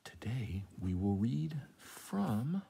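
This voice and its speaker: A middle-aged man with a husky, breathy voice, reading a children's story aloud at home as a teacher, expressively, with chatty asides. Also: mid-range voice, big pitch swings, drawn-out words, warm tone, slight background noise. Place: small room at home, indoors. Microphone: handheld tablet at arm's length.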